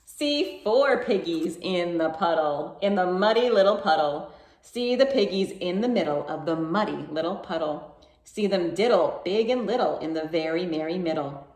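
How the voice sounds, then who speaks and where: A woman reads a picture book aloud as a children's storytime host. Her pitch moves up and down a great deal, with playful emphasis and bouncy rhythm. The room sounds echoey. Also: lively intonation, moderate pace, darkish tone.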